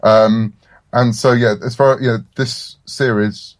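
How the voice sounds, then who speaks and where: A man talking casually as a writer guest in a podcast interview, telling stories in a self-deprecating, halting way. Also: mid-range voice, fairly even intonation, dry recording.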